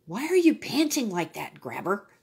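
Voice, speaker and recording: A woman's voice: medium pitch, wildly swooping pitch, normal speed, creaky, a little mellow, slightly rough, exaggerated voice. A woman voicing a friendly, confident male donkey in a storybook, with an encouraging, persuasive manner. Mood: friendly, inviting.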